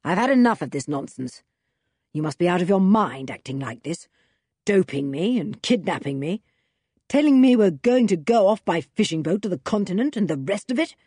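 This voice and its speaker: A woman's voice: fairly low pitch, expressive, moderate pace, husky, no room sound, studio quality. A woman voicing an angry, indignant male scientist who has been kidnapped, in a storybook reading. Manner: indignant and forceful. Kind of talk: storybook character voice.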